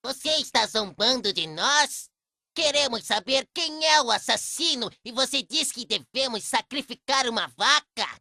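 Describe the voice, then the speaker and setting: Woman as sceptical cartoon villager: forceful, high-voiced, indignant at the prophet. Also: expressive, normal speed, dry recording, studio quality, heavily acted. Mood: indignant, doubting. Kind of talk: dramatised cartoon dialogue.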